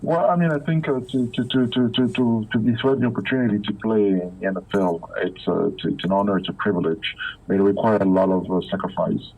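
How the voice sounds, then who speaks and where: A man from Belgium, a former pro football player, talking as a guest in an interview, halting with many repeated words and fillers, over a phone line. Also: fairly low pitch, creaky, darkish tone. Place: Africa.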